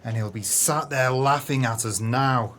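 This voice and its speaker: A man's voice: mid-range voice, measured pace, fairly bright tone, natural voice. Pompous crooked businessman played by a man, faint noise. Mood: frustrated fury. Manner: pompous, florid, theatrical. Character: haughty, pompous crooked businessman, easily exasperated. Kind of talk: audio play acting.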